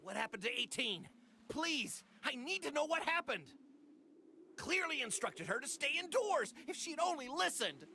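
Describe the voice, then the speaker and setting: Casting: good-hearted martial hero for anime game, informal, emphatic, hugely animated, high-pitched. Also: male voice, normal speed, creaky, a little hiss or hum, exaggerated voice. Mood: anxious urgency. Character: earnest, hot-headed good-hearted male martial arts hero. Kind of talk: dubbed anime video game acting. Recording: music behind the voice.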